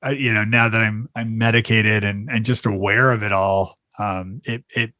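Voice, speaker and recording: A man's voice: on the low side, fairly even intonation, normal speed, sharp tone, dry recording. A man hosting a podcast interview, friendly and rambling, with many fillers and restarts. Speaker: podcast host.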